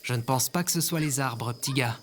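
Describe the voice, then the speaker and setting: Man acting a regular sheepish guy, mid pitch, casual talk, audio drama, noisy background. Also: fairly quick, close to an everyday voice. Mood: worried. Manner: casual, colloquial. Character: impulsive, remorseful ordinary guy, last human survivor. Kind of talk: scripted audio fiction acting.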